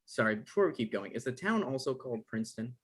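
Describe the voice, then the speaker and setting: A man acting an evasive, awkward novelist in a comedy-drama table read, halting and defensive, with stammers. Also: medium pitch, big pitch swings, breathy edge. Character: Michael, evasive, awkward novelist visiting his ex.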